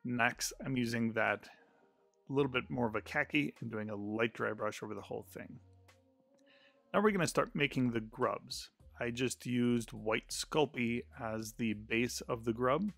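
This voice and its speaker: A man's voice: mid-range voice, measured pace, vocal fry, fairly bright tone, dry recording. A man narrating a step-by-step crafting tutorial in a plain, explanatory voice-over with frequent filler sounds.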